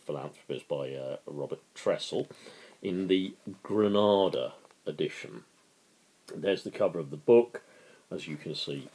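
A man's voice: a bass voice, a little mellow, breathy edge, rough recording. A man in his fifties informally introducing a dramatic book reading, talking to a camera in his living room. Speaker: amateur book reader. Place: small living room, indoors.

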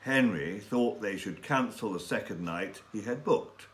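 Male storyteller, sixties. Slightly low voice, unhurried, careful diction for language learners. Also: expressive. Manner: careful, deliberate enunciation. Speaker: story reader. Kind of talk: scripted story narration for English learners. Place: small indoor room with bookshelves and window.

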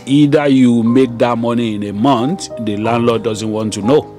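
A middle-aged man who owns a small shop, talking in an unhurried way and repeating phrases as he explains, recorded on a handheld mic indoors. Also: mid-range voice. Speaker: shop owner. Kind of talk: casual interview. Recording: background music under speech.

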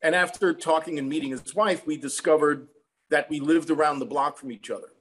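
A man's voice: fairly high pitch, brisk, rough recording. A man in his sixties with an American accent, telling an anecdote matter-of-factly as an interview guest over a video call. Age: 60s. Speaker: songwriter guest. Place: room at home.

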